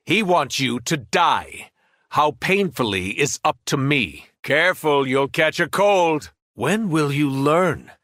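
A fallen alien superhero in a video game, menacing and arrogant. His fairly high, forceful voice swings widely as he delivers cold, mocking threats in a dry, close recording. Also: animated, slow pace, no echo. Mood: arrogant menace. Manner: taunting, cold mockery, grandiose threats. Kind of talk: video game villain voice acting.

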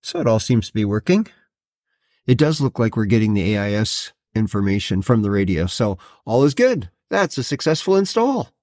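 A middle-aged man narrating a hands-on how-to in a deliberate, matter-of-fact way. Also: fairly low pitch, sing-song, darkish tone. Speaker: host of a DIY boat install video.

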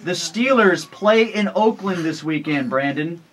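A man with a fairly high voice hosts a morning radio show. He runs a quiz and jokes with his guests. He is recorded close on a boom mic in a radio studio. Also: animated, measured pace, fairly bright tone, low-quality recording. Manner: joking, quizmaster tone. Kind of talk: lively radio banter.

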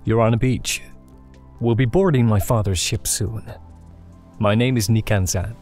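Actor as a deep, kind young adventurer. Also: male voice, measured pace, a little mellow, soft background music, close to an everyday voice. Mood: reassuring. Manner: reassuring, earnest.

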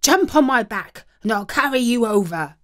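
A woman voicing a scruffy, clever male mutt dog in a storybook reading, speaking loudly and eagerly. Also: a little high, lively intonation, moderate pace.

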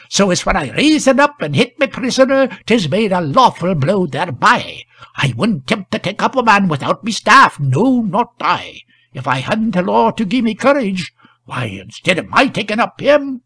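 A man puts on an elderly country constable from a storybook, high and swooping in pitch. He blusters in rustic dialect, quavering and repeating himself with flustered self-importance. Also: fairly bright tone, slightly rough, no room sound, heavily acted. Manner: dialect speech, repetitive, blustering.

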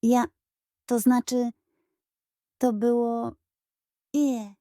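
Woman voicing a mischievous, muttering schoolboy, high-pitched. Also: little pitch movement, extremely slow, warm tone, clean tone, no room sound, studio quality. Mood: nervous. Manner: muttering and hissing under breath. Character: mischievous defiant schoolboy plotting revenge on teacher.

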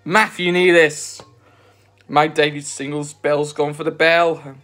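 A young man in his twenties talks into a phone camera in his bedroom, slow and earnest, circling back to the same point again and again. His voice stays flat and level, with hardly any rise or fall. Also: a little high. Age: 20s. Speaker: vlogger. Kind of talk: casual eating vlog chat. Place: bedroom at home, indoors.

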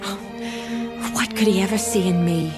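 An actress playing a shy, humble young peasant widow in an animated Bible story, speaking hesitantly. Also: female voice, mid-range voice, close to an everyday voice. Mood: wistful, self-doubting. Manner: hesitant, halting. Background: music.

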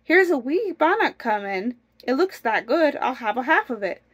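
A hungry country goodman from a storybook, voiced by a woman. He exclaims keenly in a lifted, high voice. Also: a little fast, a bit bright, no echo, clean studio sound. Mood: excited, hungry. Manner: exclaiming, keen. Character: eager, hungry country husband in a folk tale.

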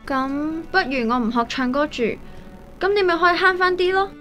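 A woman's voice: very high voice, lively intonation, normal speed, a bit bright, soft background music. A woman playing a sweet, devoted young schoolgirl in a radio drama, with a Hong Kong Cantonese accent. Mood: worried.

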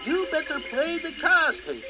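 A man's voice: very high voice, wildly swooping pitch, a little slow, over music, heavily acted. A man voicing a fussy, kindly doctor mentor in a children's audio story, instructive and theatrical.

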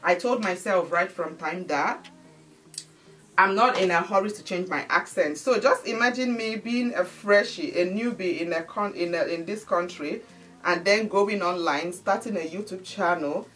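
A woman's voice: on the low side, normal speed, fairly bright tone, recorded from a distance, soft background music. A woman in her thirties from Nigeria, speaking with a Nigerian accent, chatting animatedly to camera in a home studio and calling her viewers guys. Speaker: beauty and lifestyle vlogger. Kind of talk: casual chat to camera. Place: bright indoor home studio.

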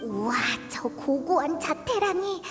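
Casting: little game fairy sidekick, extremely high childlike voice, animated, cheeky, naive. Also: female voice, slow pace, over music, heavily acted. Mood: baffled, cheeky. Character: tiny, childlike, excitable fairy companion. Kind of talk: video game voice acting.

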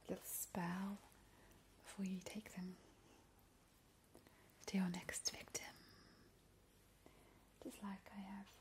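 A woman whispering softly as a playful, sinister vampire hostess in an ASMR roleplay, calling the listener darling. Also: medium pitch, a little hiss or hum. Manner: soft unvoiced whisper, slow and teasing. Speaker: ASMR host. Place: indoors at a kitchen table, making a Halloween treat. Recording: close whisper with loud crinkling wrappers and clicks. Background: crinkling packaging and clicks.

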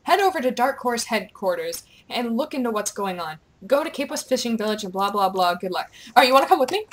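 A young woman chatting casually while gaming, excitable and playful, with goofy exclamations and bits of on-screen text read aloud. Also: on the high side, quick pace, slight background noise. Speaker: gamer, video creator. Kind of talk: casual gameplay chat, reading quest captions aloud.

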